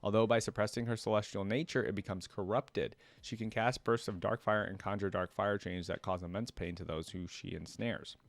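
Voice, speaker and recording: A man's voice: fairly low pitch, no echo. A man hosting a casual reaction video, reading reference text aloud and breaking off for informal commentary. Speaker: YouTube reaction host.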